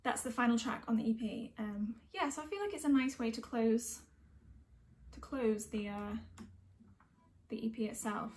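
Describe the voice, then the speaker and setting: A woman's voice: on the high side, moderate pace, slight background noise. A woman from North West England, a singer-songwriter talking casually and reflectively. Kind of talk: casual chat between songs.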